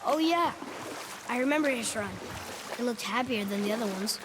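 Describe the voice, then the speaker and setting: A man's voice: very high voice, animated, moderate pace, noisy background. A young male actor playing a curious, earnest boy companion in a video game. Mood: puzzled, curious. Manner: inquisitive, earnest.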